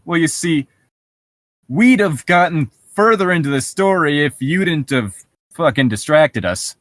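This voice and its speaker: A man co-hosting a podcast, chatting casually and reading aloud, swearing freely in a relaxed conversational way. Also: fairly high pitch, a little slow, dry recording, clean studio sound. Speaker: podcast co-host. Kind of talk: casual banter and creepypasta reading.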